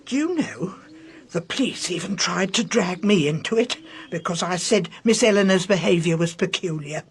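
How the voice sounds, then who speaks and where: Husky, loyal British servant woman. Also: lowish voice, lively intonation, moderate pace, full character voice. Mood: aggrieved, regretful. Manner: gossipy, insistent, colloquial. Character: loyal, indignant, chatty household servant woman. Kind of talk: radio drama acting.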